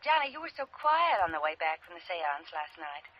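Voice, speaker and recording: A woman's voice: on the low side, big pitch swings, brisk, dull audio, a little hiss or hum. An actress playing a chirpy, gullible young woman through a telephone filter, in 1950s radio drama style. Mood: bright, eager. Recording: thin phone filter on old radio.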